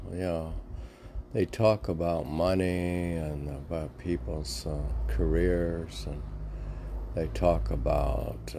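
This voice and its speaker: A man reading poetry aloud with halting, musing, informal commentary, often answering his own questions. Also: deep voice, mellow, a little hiss or hum. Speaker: reader and commentator of mystic poetry.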